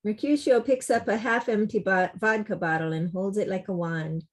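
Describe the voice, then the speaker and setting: A woman's voice: medium pitch, normal speed. A woman reading scripted stage directions as narrator of a table read over a video call.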